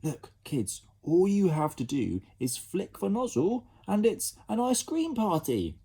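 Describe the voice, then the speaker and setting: A man voicing an eager, good-natured young boy in a storybook reading, lifting his voice higher and keeping it lively. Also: normal speed, slightly rough. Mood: coaxing.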